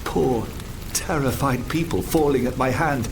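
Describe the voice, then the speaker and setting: A man playing a troubled, earnest French gentleman scientist in a video game, formal and theatrical. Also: medium pitch, measured pace, background noise, natural voice. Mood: haunted, confused. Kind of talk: dramatic video game cutscene acting.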